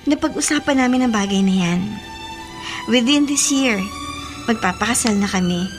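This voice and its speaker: A woman's voice: a little high, lively intonation, normal speed, over music, natural voice. A young actress playing a polite, earnest young woman who is soon to marry, in a radio drama.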